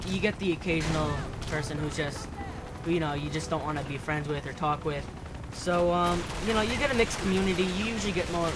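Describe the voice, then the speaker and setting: A man's voice: high voice, background noise. A young man with a light voice, rambling casually as a panelist on a gaming discussion show. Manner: rambling, hedging with fillers.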